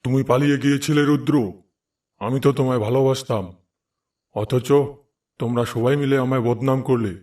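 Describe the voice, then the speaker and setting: A man voicing the vengeful ghost of a wronged schoolmaster in a horror cartoon, slow, reproachful and menacing. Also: medium pitch, fairly even intonation, a little mellow, distant mic, close to an everyday voice. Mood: accusing, menacing.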